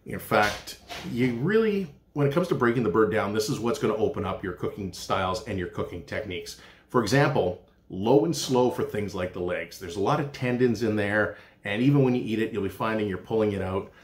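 A man's voice: fairly low pitch, brisk. A middle-aged man, a chef giving an informal, folksy cooking demonstration and explaining as he goes. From Canada. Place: small indoor room with hard walls. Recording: echoey room.

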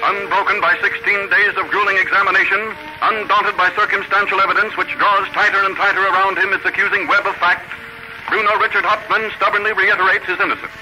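A man's voice: high-pitched, flat delivery, brisk. A man delivering urgent, declamatory dramatic news narration in 1930s radio style. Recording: noisy old 1930s radio recording.

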